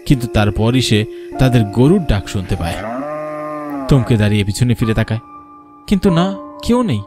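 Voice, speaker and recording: A man's voice: medium pitch, animated, normal speed, music in the background. A man narrating a scripted horror cartoon story in a dramatic storytelling style.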